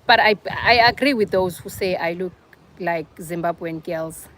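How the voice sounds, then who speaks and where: A woman's voice: fairly low pitch, normal speed, fairly bright tone, slight background noise. A young South African woman with a South African accent, hosting a casual livestream and chatting with viewers, teasing them by name. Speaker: livestream host. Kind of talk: casual live chat with viewers.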